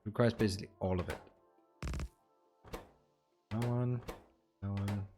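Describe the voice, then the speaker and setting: A man's voice: on the low side, fairly even intonation, a little slow, music in the background. Chatty man thinking aloud while gaming. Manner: thinking aloud while building, casual and chuckling. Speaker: streamer. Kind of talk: livestream gameplay tutorial, chatting with viewers.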